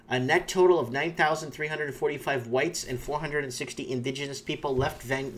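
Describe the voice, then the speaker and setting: A man's voice: mid-range voice, a little hiss or hum. A man whose first language is Portuguese, hosting his own channel and rambling through opinionated commentary on viewer comments, with many fillers and restarts. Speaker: YouTube channel host.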